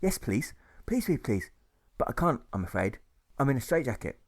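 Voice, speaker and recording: A man's voice: mid-range voice, very animated, moderate pace. A man voicing a meek, starved prisoner in an audiobook, polite and earnest. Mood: apologetic.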